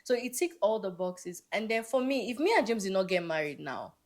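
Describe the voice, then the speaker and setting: A woman's voice: mid-range voice, expressive, brisk. Earnest woman from Africa telling her story. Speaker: interview guest. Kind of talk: casual conversational interview.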